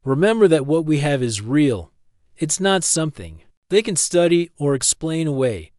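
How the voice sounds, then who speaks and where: A man's voice: a little high, big pitch swings, normal speed, a little mellow, dry recording, studio quality. A man voicing a protective, honest woman scientist with an earnest, worried manner.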